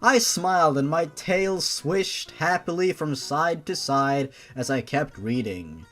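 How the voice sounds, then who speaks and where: A man's voice: a little high, expressive, slow, fairly bright tone. A man reading fan fiction aloud and breaking off for exasperated, mocking, sarcastic asides. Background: background music.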